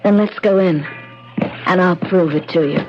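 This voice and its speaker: An actress playing a world-weary, remorseful waitress, pleading earnestly in 1970s radio drama style. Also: female voice, lowish voice, moderate pace, lightly acted. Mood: tender earnest. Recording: muffled 1970s radio broadcast, music behind.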